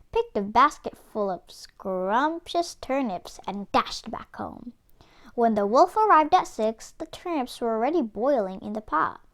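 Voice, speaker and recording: A woman reading a children's story aloud as an expressive narrator. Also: on the high side. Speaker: children's book reader.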